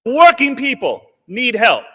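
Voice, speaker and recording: A man's voice: very high-pitched, wildly swooping pitch, a little slow, sharp tone, slightly rough. A congressman giving an indignant floor speech in a large legislative chamber. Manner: indignant, emphatic repetition. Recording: long reverb of a large chamber.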